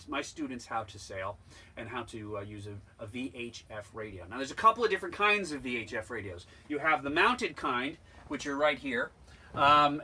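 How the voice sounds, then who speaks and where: A man's voice: on the high side, bright, forceful tone. An American man giving an informal, plain-spoken instructional demonstration as a sailing instructor, emphatic and repeating his points.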